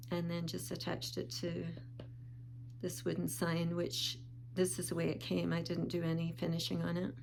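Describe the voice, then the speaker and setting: Slow, gravelly woman, a little sniffly. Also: lowish voice, slight background noise. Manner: calm, unhurried, with small pauses and restarts. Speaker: vlogger. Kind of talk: casual show-and-tell vlog.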